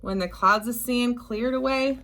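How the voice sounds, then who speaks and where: Woman, higher-pitched voice, unhurried storybook read-aloud, expressive emphasis, chatty asides for little kids.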